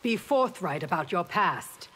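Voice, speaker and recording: A composed royal guard for a fighting game. Her formal speech is very slow and slightly low. It echoes in a long reverb over loud noise. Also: expressive. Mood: composed, cool. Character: composed, proud female royal bodyguard warrior.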